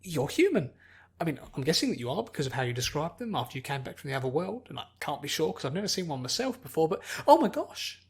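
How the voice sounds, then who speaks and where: A narrator voicing an excitable, bubbly young woman, gushing and easily distracted, in an acted audiobook. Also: mid-range voice, quick pace, breathy edge. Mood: thrilled. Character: excitable, bubbly pony princess friend turned human young woman.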